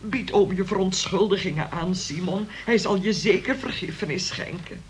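A woman's voice: medium pitch, moderate pace, husky. A woman playing a pious, fretful mother of a grown son in a Dutch radio play, plaintive and hesitant. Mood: pious, admonishing. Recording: noisy old radio play recording.

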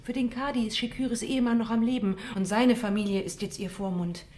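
A woman's voice: on the high side, fairly even intonation, brisk, a little mellow, a little hiss or hum, natural voice. An actress playing an anxious, gossiping servant woman in a German radio play, fretful and confiding. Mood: worried.

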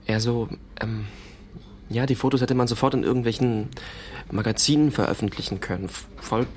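A man's voice: medium pitch, fairly quick, slight background noise, lightly acted. An actor playing a sullen, insecure teenage boy in a naturalistic radio drama, speaking in a hesitant, colloquial way. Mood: hesitant, reflective. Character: sullen, insecure fourteen-year-old boy, younger brother of a soldier.

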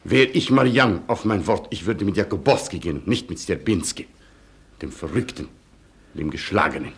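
An actor playing a proud, tormented Polish colonel in a German radio play, declaiming solemnly. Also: male voice, sits low, normal speed, husky, full character voice. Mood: bitter, tormented.

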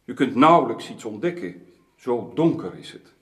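An actor playing a sceptical, sarcastic gentleman councillor, formal and mocking, in a period radio drama. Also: medium pitch, sing-song, normal speed, natural voice.

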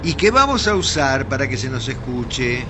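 A man's voice: medium pitch, big pitch swings, normal speed, natural voice. A man playing the good-natured, pompous leader of a touring theatre troupe in a radio drama, ceremonious and emphatic, with an Argentine accent. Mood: playfully solemn. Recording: noisy vehicle ambience.

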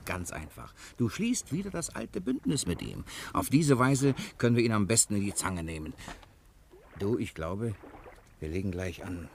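An actor playing a cocky, unflappable gentleman thief, breezy and theatrical, in a 1960s German radio crime drama. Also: lowish voice, sing-song, normal speed, gravelly. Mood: calm, reassuring. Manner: breezy, self-assured, theatrical. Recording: faint noise on old radio recording.

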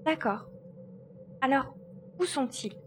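A woman's voice: sits high, lively intonation, takes it slowly, slight background noise. A woman acting a bold, decisive young girl adventurer in a French audio drama. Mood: pensive.

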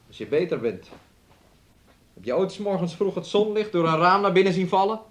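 A man's voice: high-pitched, very animated, a little fast, fairly bright tone. A possessive, needling man. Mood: coaxing, controlling. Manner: coaxing, needling, repetitive questions, refers to himself in third person. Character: possessive, controlling man keeping his sick fiancée hidden away. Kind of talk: Dutch radio play acting.

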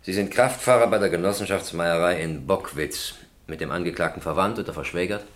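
An actor playing a stern, formal presiding judge who questions with authority in a German radio crime drama. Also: male voice, low voice, a little fast, lightly acted. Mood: formal, matter-of-fact. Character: stern, probing male presiding judge in a courtroom.

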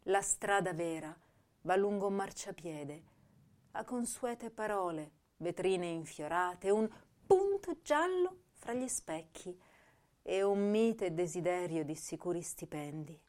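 An actress playing an intense, tender young woman poet, reading letters and verse in a lyrical, measured, dramatic way for a radio drama. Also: on the low side, expressive, darkish tone. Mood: wistful.

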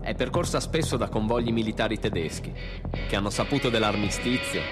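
A man's voice: fairly low pitch, fairly quick. Male reader for a spoken-word stage show: solemn, declamatory, rhythmic, with prominent live guitar backing. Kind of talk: dramatic literary reading to live music. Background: live guitar band music.

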